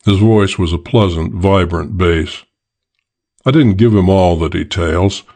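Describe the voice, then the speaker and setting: A man's voice: low-pitched, unhurried, darkish tone. A man reading a first-person detective story as an audiobook narrator.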